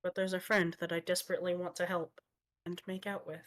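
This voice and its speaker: A woman's voice: on the low side, little pitch movement, fast talker. A woman playfully reading game dialogue aloud among friends in a casual style. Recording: music behind the voice.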